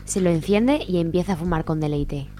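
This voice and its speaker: Woman narrating a radio serial, spain accent, mellow lowish voice, faint noise. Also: brisk. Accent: Spanish, from Spain.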